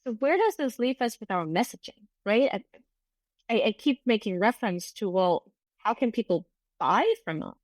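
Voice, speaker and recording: A woman's voice: medium pitch, lively intonation, moderate pace, dry recording, studio quality. A middle-aged woman whose first language is German, hosting an unscripted solo podcast in an earnest, reflective way, often restarting her sentences. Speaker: podcast host and business coach.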